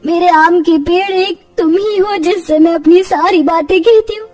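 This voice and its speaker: A woman's voice: very high-pitched, moderate pace, darkish tone. A woman playing a poor, devoted young mother in a cartoon story, plaintive and emotional. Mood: despairing. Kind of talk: dramatised children's cartoon story. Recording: distant-sounding, music underneath.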